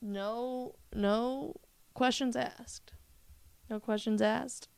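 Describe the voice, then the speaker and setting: A woman's voice: a little high, fairly even intonation, drawn-out words, creaky, darkish tone, clean tone, dry recording. A woman co-hosting a podcast in casual chat, playful and fond of puns. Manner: joking, punny, playful. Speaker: podcast co-host.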